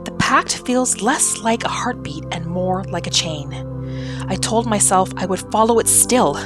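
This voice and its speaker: A woman voicing a wistful, hopeful female wood elf exile, telling her story in measured, lyrical fantasy narration. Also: medium pitch, expressive, lightly acted. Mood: solemn. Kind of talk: scripted first-person fantasy narration. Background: new-age music.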